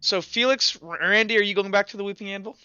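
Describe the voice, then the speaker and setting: High-pitched, clear-voiced man running a game. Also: fast, pushed and bright, no echo, muffled recording. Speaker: game master. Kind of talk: narrating a tabletop game casually.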